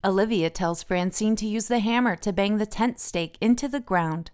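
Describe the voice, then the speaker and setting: A woman's voice: mid-range voice, moderate pace, darkish tone, smooth. A woman narrating a picture book aloud for children as the host of a read-aloud.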